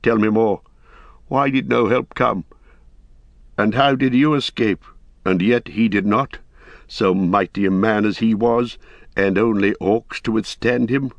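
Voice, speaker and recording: A proud, bitter old lord speaks coldly and gravely, as a male reader voices him. The voice sits low, and the dry, dull recording has no room sound. His delivery is stately and measured. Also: steady tone, no room sound. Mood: grim.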